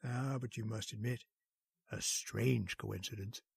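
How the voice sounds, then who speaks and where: A man acting a calm, learned hospital doctor in a radio horror drama, speaking in a measured, authoritative way. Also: on the low side, creaky, slight background noise. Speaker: voice actor.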